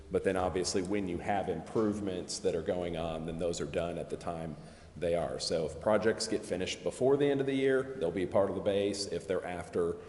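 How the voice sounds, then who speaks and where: A man with a very low voice explains things in a measured, careful way at a public meeting. He restates and qualifies his points as he goes. His words ring with long reverb in an echoey chamber. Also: a little mellow. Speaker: presenter explaining tax district finances at a council meeting. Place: council chamber.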